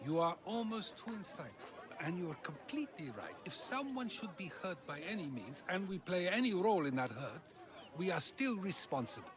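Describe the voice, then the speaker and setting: An actor playing an earnest philosopher of ancient Greece in a video game, arguing deliberately like an orator. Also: male voice, high-pitched, very animated, a crowd in the background. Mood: probing. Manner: questioning, oratorical, deliberate argument. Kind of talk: video game cutscene acting.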